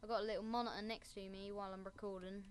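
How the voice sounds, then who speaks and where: A woman's voice: mid-range voice, level tone, rapid-fire, noisy background. A woman giving a casual Minecraft building tutorial, rambling and making self-mocking asides. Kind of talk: casual gameplay tutorial commentary.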